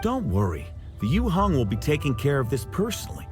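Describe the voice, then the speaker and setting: Calm polite guard, expressive intonation, faint music behind. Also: male voice, mid-range voice, fairly quick. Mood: reassuring. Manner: courteous, reassuring. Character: polite adult guard captain, calm and dutiful. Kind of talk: game cutscene voice acting.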